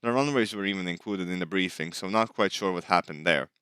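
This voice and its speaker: A man's voice: sits low, brisk, bright, forceful tone, clean tone, dry recording. A man giving a scripted voice-over narration, recapping his own gameplay as a flight sim hobbyist.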